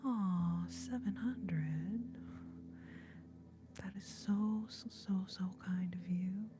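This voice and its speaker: A woman hosting a livestream, whispering to her viewers and reading their comments in a soft, breathy, affectionate way. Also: mid-range voice, extremely slow, over music. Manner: gushing, affectionate, calls viewers babes and y'all. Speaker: livestream host reading chat. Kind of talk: whispered ASMR chat with viewers.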